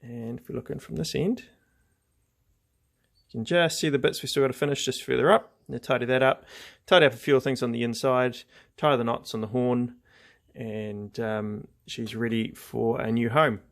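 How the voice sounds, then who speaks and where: A man's voice: mid-range voice, steady tone, normal speed, vocal fry. A man giving a casual, hands-on craft demonstration, explaining each step in an informal, laid-back way. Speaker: craft tutorial host.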